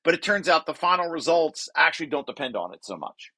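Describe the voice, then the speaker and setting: A man presenting a technical paper aloud, reading and adding explanations in a halting way with self-corrections. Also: fairly high pitch, very animated, crowd noise. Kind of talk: technical table read with explanatory asides.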